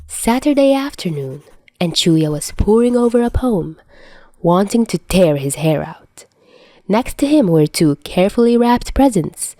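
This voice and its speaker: A woman's voice: lowish voice, dark tone, no room sound. A woman narrating a story as a podfic reader, in a scripted read-aloud style.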